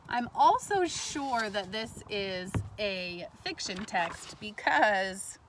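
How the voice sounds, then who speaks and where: A woman teacher reading a storybook aloud to kindergartners in an animated way, pausing to put questions to her listeners. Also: medium pitch, soft background music. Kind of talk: read-aloud lesson for young children. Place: outdoors in a backyard tree fort among trees. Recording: faint outdoor ambient noise.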